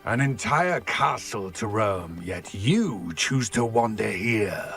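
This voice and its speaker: A man's voice: fairly low pitch, lively intonation, a bit bright, soft background music, full character voice. An actor playing a proud, wary male creature guardian who speaks sternly and with menace. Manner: stern, slow and threatening. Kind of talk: video game voice acting.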